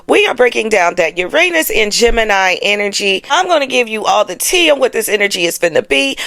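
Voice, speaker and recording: A woman's voice: mid-range voice, creaky, a bit bright, no echo. A woman hosting an astrology show, chatting casually to her audience in a sassy, playful, slangy way. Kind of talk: casual chatty explainer to camera.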